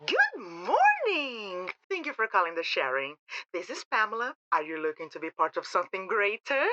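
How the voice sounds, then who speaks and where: A woman's voice: medium pitch, wildly swooping pitch, normal speed, sharp tone, slight background noise. A woman playing a saccharine, gushing receptionist for a secretive organization in an audio drama, with a syrupy sales manner, over a phone call. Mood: bright, welcoming. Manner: effusive, sales-like, syrupy familiarity.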